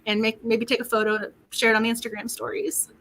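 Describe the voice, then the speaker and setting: A woman hosting a book club livestream in casual chat, excitable and quick to laugh, her voice getting worn. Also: a little high, a little hiss or hum. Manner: excitable, laughs often, repeats words.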